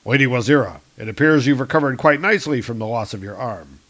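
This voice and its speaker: A man's voice: medium pitch, expressive, quick pace, fairly bright tone, close to an everyday voice. A man voicing a hearty, confident warrior god hero from a comic book with lofty, archaic phrasing.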